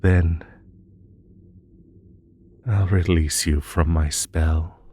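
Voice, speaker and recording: Man acting a slow, possessive magical bunny. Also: a bass voice, steady tone, dark tone, breathy edge, exaggerated voice. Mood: soothing, possessive. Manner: slow, coaxing, hypnotic, drawn-out soothing delivery. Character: possessive yandere Ether Bunny, male magical creature, sweetly menacing. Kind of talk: intimate scripted roleplay audio with hypnosis. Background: music.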